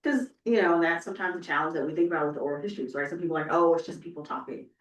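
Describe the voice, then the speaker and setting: A woman's voice: low-pitched, racing, a little hiss or hum. A woman speaking conversationally as an oral historian on a panel, emphatic and often checking in with "right?". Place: indoor event room. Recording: echoey room, distant microphone.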